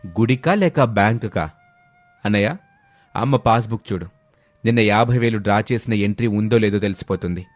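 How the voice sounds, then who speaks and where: A man's voice: lowish voice, moderate pace, long pauses, no room sound, soft background music, close to an everyday voice. Man playing accusing younger son in a family drama. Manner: probing, accusatory questioning. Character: outraged younger son exposing his scheming mother.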